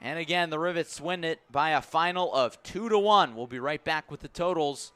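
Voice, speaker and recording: Bright, punchy male baseball play-by-play announcer. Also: on the high side, moderate pace, smooth, no room sound. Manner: clipped, punchy phrases that build with the action. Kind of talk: live sports play-by-play commentary.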